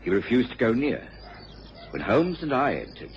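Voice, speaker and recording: A genteel, earnest gentleman who assists a detective and tells of the cases. His pitch moves freely as he speaks in an expressive radio drama style. The recording is dull and old, with a faint hiss. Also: moderate pace. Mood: measured, grave. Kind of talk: radio drama narration and acting.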